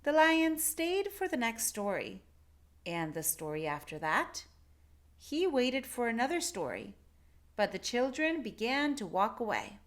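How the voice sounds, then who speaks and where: A woman reading a children's storybook aloud in an expressive, deliberate narrating style. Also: on the high side.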